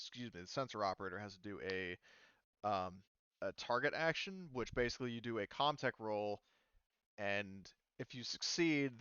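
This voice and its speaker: A man running a tabletop game, explaining rules in a casual, halting, hedging way. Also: mid-range voice, a bit bright, clean tone, no room sound, muffled recording. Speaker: game master.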